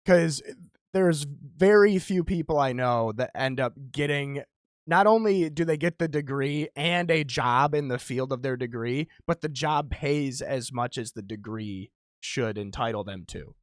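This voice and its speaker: A man's voice: mid-range voice, a little slow, dry recording, studio quality. A man in his thirties hosting a podcast, talking in a candid, rambling, self-deprecating way. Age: 30s. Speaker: podcast host. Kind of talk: casual podcast chat.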